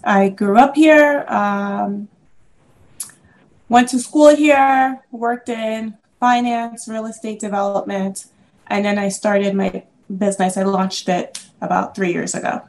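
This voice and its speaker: A middle-aged woman from the Congo, an interview guest and business owner, telling stories in a lively way and laughing often. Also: mid-range voice, slow. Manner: animated storyteller, laughs often. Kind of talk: casual interview conversation.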